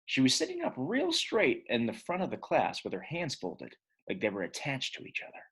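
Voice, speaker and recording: A man reading a children's book aloud as an expressive storyteller, with a husky voice. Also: medium pitch, moderate pace.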